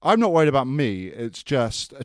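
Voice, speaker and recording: A man's voice: mid-range voice, very animated, fairly quick, no room sound, studio quality. A man with a Welsh accent, co-hosting a podcast in casual, joking banter. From Wales. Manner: joking banter, laughs often. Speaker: podcast co-host. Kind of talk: casual chat.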